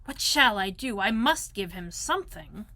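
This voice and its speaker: A woman voicing a gentle, slow-witted male teddy bear in a storybook, earnest and pondering. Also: medium pitch, lively intonation, no room sound.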